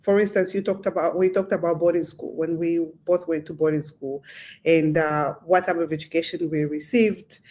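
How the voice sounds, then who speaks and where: A woman's voice: lowish voice, darkish tone, low-quality recording. A woman with a Zambian accent, a podcast host speaking as a guest on a panel discussion, explaining her points earnestly.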